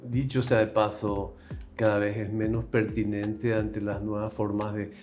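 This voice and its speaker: A man's voice: fairly low pitch, level tone, measured pace, darkish tone. Hesitant man interviewer. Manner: hesitant, repeats words, many backchannels. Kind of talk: interview questions.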